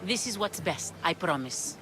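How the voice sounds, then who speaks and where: A woman's voice: on the low side, lively intonation, normal speed, music in the background, lightly acted. Woman playing a frightened, determined young runaway. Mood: pleading. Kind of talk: dramatic video game acting.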